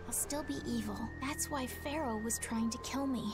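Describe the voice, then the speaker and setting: A gentle young noblewoman from an anime video game, played by a woman. Her voice is high and earnest, anxious with a resolute core. Loud music plays behind her. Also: moderate pace, close to an everyday voice. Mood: anguished, resolute.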